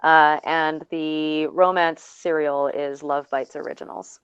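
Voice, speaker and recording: A woman with a low, strikingly smooth voice on dull, lo-fi audio. She talks casually and haltingly, as a guest on a panel. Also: steady tone, no echo. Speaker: panelist, serial writer. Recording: dull, band-limited audio.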